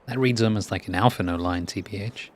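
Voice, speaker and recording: A man's voice: lowish voice, quick pace. A man streaming a game, tossing out offhand, slangy, teasing reactions. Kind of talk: offhand reactions while playing.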